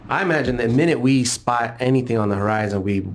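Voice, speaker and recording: A man's voice: mid-range voice, fast talker, a little hiss or hum. A man, talking casually as a player in a tabletop game.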